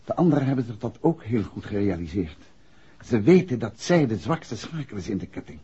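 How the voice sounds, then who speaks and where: An actor playing an assured, inquisitive gentleman detective and husband in a 1960s radio play, with a husky voice. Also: on the low side, big pitch swings, brisk, heavily acted. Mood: attentive. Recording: dull, muffled 1960s radio recording.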